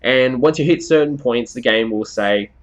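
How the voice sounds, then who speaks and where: Man, medium-pitched voice, conversational game reviewer, explanatory commentary, slightly dull lo-fi recording. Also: moderate pace. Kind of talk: semi-scripted review commentary over gameplay footage.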